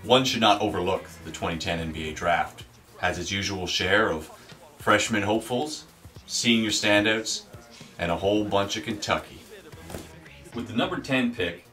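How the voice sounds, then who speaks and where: A man giving a wry, deadpan sports commentary, auditioning as a sportscaster. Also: deep voice, far from the mic. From Canada. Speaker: aspiring sportscaster.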